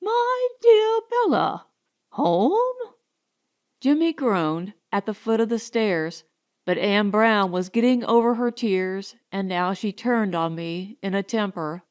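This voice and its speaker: A woman's voice: mid-range voice, measured pace, smooth. A woman reading first-person narration from a comic novel as an audiobook narrator.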